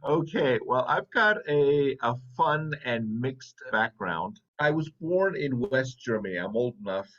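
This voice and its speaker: A man's voice: medium pitch, lively intonation, measured pace, few pauses, fairly bright tone. A man in his fifties who grew up in Saudi Arabia, talking casually as an interview guest with conversational asides. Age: 50s. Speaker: interview guest, business owner. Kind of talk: casual personal storytelling. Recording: dull lo-fi audio.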